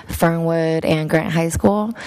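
A woman with an American accent and a fairly low voice speaks at a civic meeting. She explains her background and work as a community coordinator in answer to questions. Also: fairly even intonation, slow pace, a little mellow, clear, resonant tone. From born in Taiwan, grew up in Portland, Oregon, US. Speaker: community engagement coordinator giving testimony. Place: city council meeting room.

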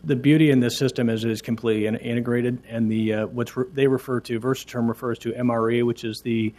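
A man's voice: medium pitch, steady tone, dark tone. A man, a city official, explaining a records system to a council with many fillers and restarts. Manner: halting, hesitant explanations. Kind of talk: answering council questions.

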